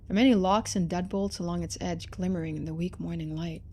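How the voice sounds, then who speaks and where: A woman's voice: lowish voice, fairly even intonation, quick pace, a little mellow. A woman reading game narration expressively and adding casual commentary as a streamer.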